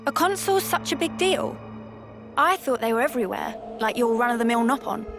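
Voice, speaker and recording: A young female soldier in a video game, with a fairly high voice and a blunt, colloquial way of talking. Loud music plays behind her. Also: animated, a little fast. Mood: blunt, questioning.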